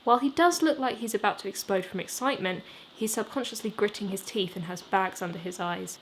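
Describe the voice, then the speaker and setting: Female author-narrator for expressive novel reading, mid-pitched, faint ambient noise. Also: a little fast. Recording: steady background noise. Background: rain ambience.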